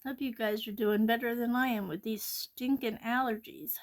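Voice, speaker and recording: A woman's voice: on the high side, fairly even intonation, moderate pace. A woman hosting an art channel, chatting casually while she sketches, a little congested from allergies and often dropping to a near-whisper.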